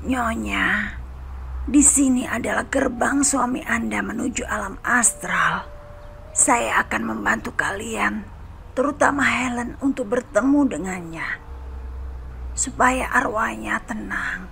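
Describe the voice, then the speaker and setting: A soft-whispering psychic medium. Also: female voice, fairly high pitch, takes it slowly, gravelly, slight background noise, heavily acted. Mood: hushed. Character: calm middle-aged woman psychic medium.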